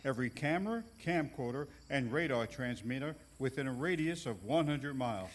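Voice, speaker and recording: A man's voice: medium pitch, lively intonation, moderate pace, lightly acted. A man playing an earnest, gullible paranormal investigator who co-hosts a TV show, announcer-like and melodramatic, in a live comedy audio drama. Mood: earnest, announcing.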